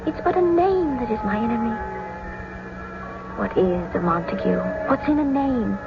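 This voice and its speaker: Young lovesick noble girl, high pleading voice, old radio drama, muffled recording. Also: animated, moderate pace, loud music behind the voice. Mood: yearning. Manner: romantic, pleading, poetic delivery. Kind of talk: classical stage-style radio drama acting.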